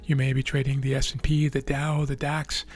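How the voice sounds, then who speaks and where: Raspy man talking through trading charts. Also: medium pitch, level tone. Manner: measured, thinking aloud with fillers and restarts. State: losing his voice. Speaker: trading video host. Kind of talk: explaining live market charts.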